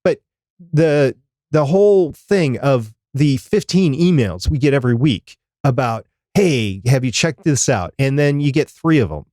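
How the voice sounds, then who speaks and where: A man's voice: mid-range voice, expressive, unhurried, a little mellow, dry recording, clean studio sound. A man speaking as a podcast co-host in a casual, conversational chat.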